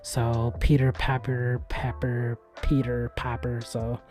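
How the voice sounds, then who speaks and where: A man hosting a gadget review channel, talking casually about a microphone in a soft voice with plenty of fillers. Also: medium pitch, slow, over music. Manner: relaxed, chatty, many fillers. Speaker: tech reviewer, channel host. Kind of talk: casual product review vlog. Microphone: USB desk microphone.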